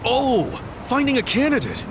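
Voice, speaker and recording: An actor playing a brash, excitable ex-yakuza hero in a game cutscene, blunt, slangy and expressive. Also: male voice, very high-pitched, normal speed, background noise, exaggerated voice. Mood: eager. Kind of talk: animated game cutscene acting. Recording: music behind the voice.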